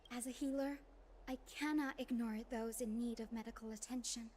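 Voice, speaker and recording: A woman's voice: a little high, fairly even intonation, normal speed, darkish tone, a little hiss or hum, close to an everyday voice. A woman voicing a compassionate, commanding young healer in a video game, composed and formal. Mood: composed, caring.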